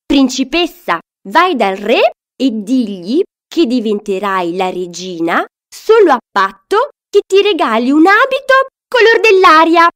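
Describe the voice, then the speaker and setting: A fairy godmother from a storybook, as a woman narrator plays her. She instructs emphatically, scheming and encouraging. Her pitch is high and very animated. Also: unhurried, heavily acted.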